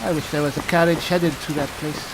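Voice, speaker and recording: A man doing in-character roleplay commentary on a game, musing haltingly as if talking to himself. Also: fairly high pitch, steady tone, noisy background. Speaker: gameplay host, player in character. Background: rain and thunder from the game.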